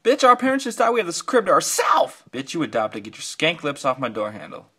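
A sassy young cartoon girl played by a woman scolds bluntly. The female voice is low and raspy, with a forceful edge. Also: very animated, a little fast, heavily acted. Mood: scolding.

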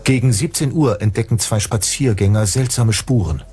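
A man's voice: fairly low pitch, moderate pace, warm tone, close to an everyday voice. A man reading scripted true-crime documentary narration as the narrator. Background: background music.

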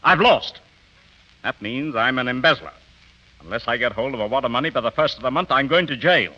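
A man's voice: mid-range voice, expressive, fairly quick, sharp tone. An actor playing an exasperated middle-aged rich father in a 1930s radio comedy, blunt and sardonic. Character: exasperated, blustering middle-aged wealthy family patriarch. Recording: dull old 1930s radio recording.

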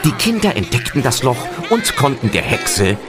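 A man's voice: medium pitch, wildly swooping pitch, moderate pace. A man narrating a fantasy radio play for children in a scripted storytelling style. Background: loud music.

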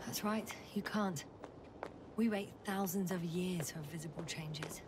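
An actress playing a wry, thoughtful scientist exploring a planet in a video game, speaking in a measured, musing way. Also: female voice, lowish voice, background noise, lightly acted. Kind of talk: naturalistic video game voice acting.

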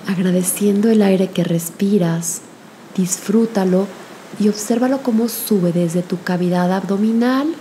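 Slow, soothing woman guiding meditation. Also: medium pitch, a little hiss or hum. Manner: soothing, gentle, with long pauses. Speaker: meditation guide. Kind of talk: guided spiritual meditation.

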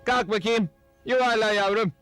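A man's voice: sits high, normal speed, a bit bright. A man playing a silly, eager, simple-minded little male Smurf in a dubbed children's cartoon. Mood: proud, eager. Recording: faint music behind the voice.

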